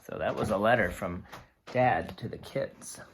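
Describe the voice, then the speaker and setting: A storyteller reading a picture book aloud to young children in a deliberate, expressive way, pausing to explain simply. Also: deep voice, slight background noise. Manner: slow, deliberate, explains and asks simple questions.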